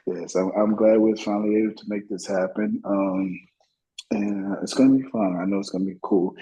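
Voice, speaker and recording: A man from Florida with an American accent and a husky voice, hosting a conversational interview in a halting way. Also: on the low side, fairly even intonation, warm tone, rough recording. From Florida, United States. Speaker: music show host.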